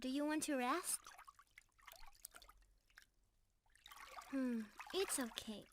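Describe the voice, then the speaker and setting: High, sweet young mermaid, acted by a woman. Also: normal speed, background noise, natural voice. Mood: soothing, sleepy. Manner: affectionate, breathy sighs and giggles, emotional. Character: young female mermaid, sweet, adoring, emotional romantic partner. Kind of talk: ASMR romantic roleplay.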